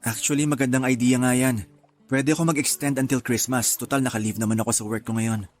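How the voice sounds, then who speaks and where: Radio drama role: actor as casual, sincere young city guy, mid-pitched, faint music. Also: steady tone, brisk, lightly acted. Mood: easygoing, sincere. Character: wistful, easygoing young city man on holiday. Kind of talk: Tagalog radio drama acting with English mixed in. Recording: music under the voice.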